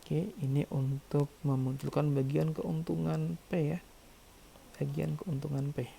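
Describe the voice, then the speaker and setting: A man with a very dark, mellow voice and some gravelly creak lectures like an accounting teacher. He explains figures step by step and often repeats a key phrase to make it stick. A faint noise sits under the recording. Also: a little high, flat delivery, unhurried.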